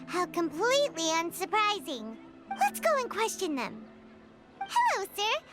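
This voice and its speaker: A woman's voice: big pitch swings, a little slow, heavily acted. A woman voicing a small, childlike female fairy companion in a fantasy game, emotional and earnest, speaking of herself in the third person. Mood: eager, scheming. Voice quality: squeaky, childlike. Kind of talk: animated fantasy game voice acting. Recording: music behind the voice.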